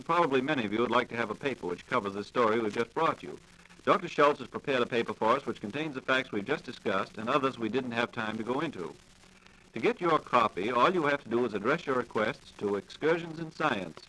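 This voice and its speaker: A man's voice: mid-range voice, pushed and bright, rough recording. An American man hosting a 1940s radio programme with formal, courteous, polished scripted announcing. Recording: vintage 1940s radio broadcast recording.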